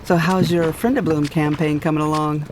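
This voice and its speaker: A woman playing a bold adult superheroine in an audio drama, brisk and teasing. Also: low-pitched, steady tone, background noise, natural voice.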